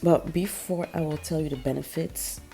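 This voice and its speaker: A woman with a very low voice, made deeper still and gravelly by a cold. She talks through a hair-care how-to in a loose, chatty way, full of ums and likes, and the tone is dark and mellow. Faint music plays underneath. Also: steady tone, moderate pace. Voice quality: naturally low voice. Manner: chatty, many ums and likes, small laughs. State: sick, voice even lower than usual. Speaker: natural hair vlogger. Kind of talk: casual how-to vlog.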